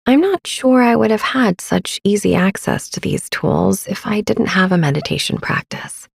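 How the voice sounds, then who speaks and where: A woman in her thirties gently narrating a sleep meditation as podcast host, with a soft, breathy, soothing and unhurried delivery. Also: medium pitch, expressive, no echo, clean studio sound.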